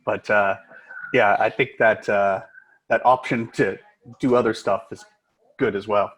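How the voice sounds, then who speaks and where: An American man, an agile coach, co-presenting in a relaxed, chatty way on a video call, with many "uh" fillers. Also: lowish voice, measured pace, poor audio. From United States.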